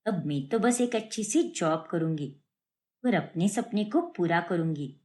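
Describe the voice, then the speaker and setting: A woman's voice: lowish voice, animated, unhurried, mellow. A woman voicing a friendly, chatty young student in a cartoon story, breezy and casual. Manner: breezy, casual, English slang mixed in.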